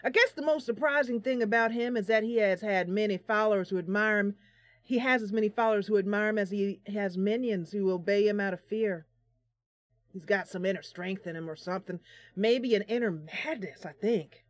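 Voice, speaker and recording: A woman plays a plain-spoken village shopkeeper from a fantasy role-playing game. He talks reluctantly and haltingly, musing as he goes, in a dry, close sound. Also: mid-range voice, dry recording, close to an everyday voice. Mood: uneasy, musing. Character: gruff, grudging male trading post keeper, plain-spoken villager.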